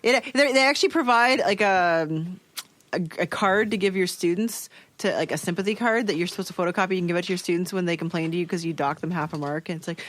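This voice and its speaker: A man's voice: high-pitched, fast talker, fairly bright tone, no room sound. A man co-hosting a podcast in casual chat, teasing and sardonic, posing mock-serious questions. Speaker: podcast co-host.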